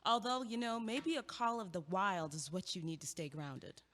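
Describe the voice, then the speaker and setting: An actress in an audio play, playing a wry young woman who teases her friend with quick wit, recorded with a long hall reverb. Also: mid-range voice, expressive, a bit bright, close to an everyday voice. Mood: teasing. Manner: teasing, quick-witted delivery.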